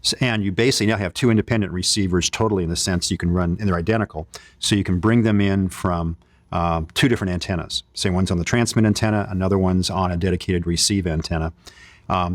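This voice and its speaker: Low-voiced guy doing a techy product demo, explains stuff conversationally, really dry sound no echo. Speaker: company presenter demonstrating radio equipment. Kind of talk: informal product demo presentation.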